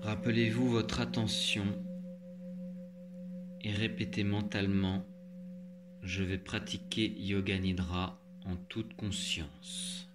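Male meditation guide, very slow and calm delivery, loud singing-bowl music underneath. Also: lowish voice. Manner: calm, measured instructions with long pauses. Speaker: yoga nidra instructor. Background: meditative music, singing bowl tones.

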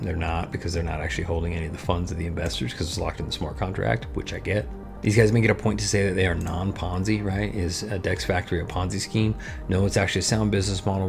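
Man in his thirties, low gravelly voice, chatty and frank host, faint music under him. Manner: chatty, frank, fillers like "you know" and "right?". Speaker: crypto investing YouTuber. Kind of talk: casual screen-share review. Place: small room with purple and blue lights.

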